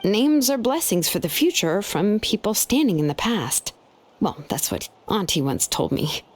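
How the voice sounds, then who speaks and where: A woman's voice: medium pitch, big pitch swings, a little slow. A woman playing a laid-back, thoughtful young female artisan in a video game, explaining things matter-of-factly. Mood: calm, explaining. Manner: explains at length, matter-of-fact. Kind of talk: video game character dialogue.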